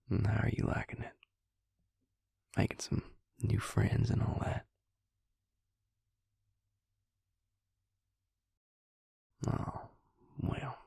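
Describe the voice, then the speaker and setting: A man playing a devious vampire posing as a suave dentist in an intimate audio roleplay, talking softly and reassuringly to the listener in a breathy, gravelly half-whisper. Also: fairly low pitch, no echo, studio quality, full character voice. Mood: softly coaxing. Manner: soft-spoken, slow, reassuring bedside patter. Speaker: dentist character. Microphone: close, dry microphone.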